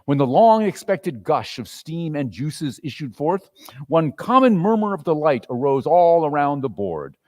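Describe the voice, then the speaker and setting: Animated man reading a story aloud. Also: fairly high pitch, a little slow, no room sound, studio quality. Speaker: narrator in a dramatic reading. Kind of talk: theatrical storybook narration.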